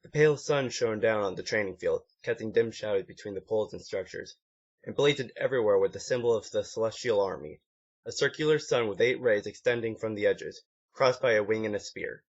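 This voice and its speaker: A man's voice: on the low side, fairly quick. Lo-fi amateur male story reader. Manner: stumbles over words and corrects himself. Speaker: amateur fan fiction reader. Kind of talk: one-take story narration. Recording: dull lo-fi home recording.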